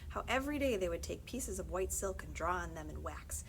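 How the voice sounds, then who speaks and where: Read-aloud narrator: woman, thirties, lively intonation, measured storytelling, some ambient noise. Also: lowish voice.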